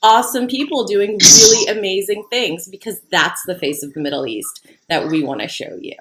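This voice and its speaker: A woman's voice: mid-range voice, expressive, normal speed, fairly bright tone, a little hiss or hum, rough recording. A young woman co-founder talking frankly and passionately in casual conversation, swearing now and then. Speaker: co-founder of the platform.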